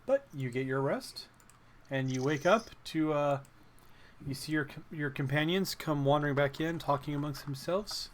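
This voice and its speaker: A man narrating a tabletop game as game master in a casual, halting, conversational way. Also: a little high. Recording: faint background noise.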